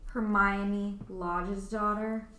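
In a roomy, echoing space, a woman plays a strict mother in a radio drama. She is scornful and controlling, with a medium-pitched voice. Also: flat delivery, drawn-out words, sharp tone. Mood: stern, scornful. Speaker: amateur radio play actor. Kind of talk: amateur radio play reading.

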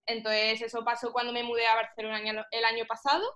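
Bright, high-voiced young woman, evenly pitched. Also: completely flat delivery, quick pace. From Middle East, mostly Egypt. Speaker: powerlifting athlete and coach, podcast guest. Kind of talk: casual interview chat.

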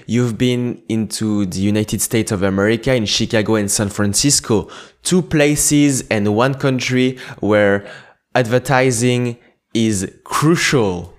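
Guy hosting an interview, lowish voice, kinda formal halting questions. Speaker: interviewer, host.

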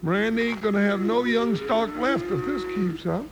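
High, creaky-voiced timid cowboy sidekick. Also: male voice, normal speed. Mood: comic unease. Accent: American Western drawl. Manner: folksy, hesitant, comic. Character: big, timid, good-natured cowboy deputy sidekick, comic. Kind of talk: 1950s radio western acting.

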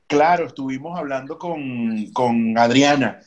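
A Venezuelan man hosts a relaxed podcast chat with friends. His voice sits at a middle pitch. He moves in an animated way between quick bursts and slower stretches with fillers. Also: lo-fi sound, a crowd in the background. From Maracay, Venezuela. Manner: animated, shifts from fast to slow with fillers. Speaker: podcast host; baseball stadium announcer.

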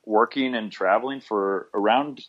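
A man's voice: fairly low pitch, moderate pace, lo-fi sound. A thirty-year-old man from the United States, a podcast guest calling in remotely and speaking in an easygoing, plain-spoken way. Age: 30s. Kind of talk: casual interview. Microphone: remote call.

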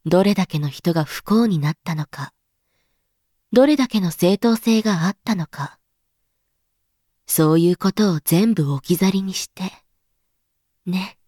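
A woman's voice: on the low side, a little slow, pauses often, dark tone, no room sound, clean studio sound. A woman playing a scheming, amused member of the elite in a voice drama, speaking in a haughty, teasing, knowing manner. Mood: sly, mocking. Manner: teasing, haughty, feminine speech with knowing asides. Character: scheming, amused elite woman who secretly engineered a revolution. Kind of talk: voice drama monologue.

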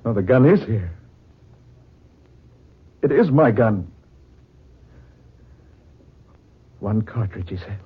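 An actor playing a wealthy man with a guilty secret in a 1940s radio drama, theatrical and given to dramatic pauses. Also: on the low side, expressive, husky, full character voice. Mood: horrified dread. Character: wealthy suave man haunted by guilty dread. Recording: dull old radio recording, piano music behind.

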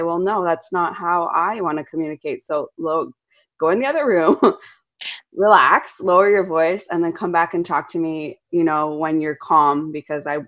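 Low-voiced chatty woman host. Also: dull audio. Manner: open, chatty, lots of "you know". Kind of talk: casual conversation.

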